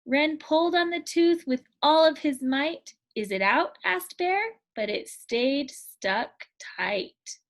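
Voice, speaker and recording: A woman teacher reading a picture book aloud to children in a clear storytime manner. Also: high voice, animated, slow, few pauses.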